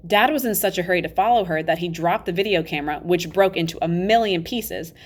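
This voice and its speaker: A woman reading a children's novel aloud in an animated, emphatic storybook style, acting out the dialogue. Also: fairly low pitch, a little fast. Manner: expressive, emphatic, acts out dialogue.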